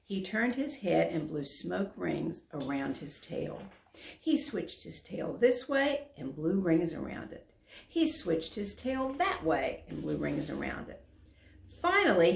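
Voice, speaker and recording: A woman volunteer narrating a children's story aloud in an expressive, emphatic storytelling manner. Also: mid-range voice, slow pace, creaky. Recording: roomy echo.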